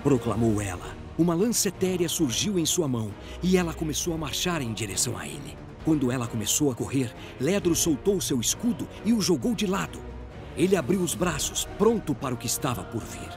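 A man's voice: a little high, sing-song, a little fast, music in the background. A man with a Brazilian accent narrating a scripted story in a dramatic audio drama style.

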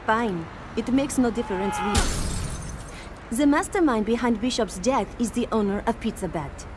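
A woman's voice: fairly high pitch, expressive, moderate pace, background noise, lightly acted. A woman voicing a cool, sly blonde handler of an assassin contest in a video game cutscene. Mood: cool, teasing. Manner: coolly detached, sly.

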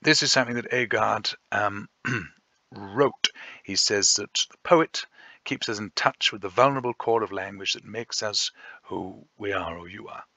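A man teaching a recorded revision lesson, chatty and self-deprecating, often pausing to think. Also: lowish voice, pushed and bright, dry recording, muffled recording. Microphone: voice-over over slides.

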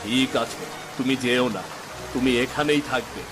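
A man's voice: mid-range voice, moderate pace, natural voice, low-quality recording. A man voicing village men in a dramatic folk-tale cartoon, speaking. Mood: reproachful. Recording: music behind the dialogue.

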